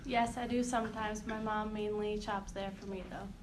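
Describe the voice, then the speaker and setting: A 21-year-old woman gives short, plain focus group answers in a flat, even tone. Clear background noise runs under her voice. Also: mid-range voice, moderate pace. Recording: noisy room recording.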